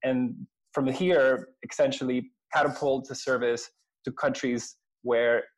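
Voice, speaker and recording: A man from Venezuela, a company president answering interview questions earnestly, with frequent pauses and restarts. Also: mid-range voice, fairly even intonation, rough recording.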